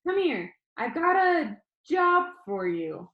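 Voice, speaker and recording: A woman's voice: high-pitched, sing-song, slow pace, dull audio, pitched above the performer's own voice, heavily acted. A woman reading aloud voices a shy young boy who speaks hesitantly and apologetically. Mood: mock tough. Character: shy young boy trying to sound tough. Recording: roomy echo.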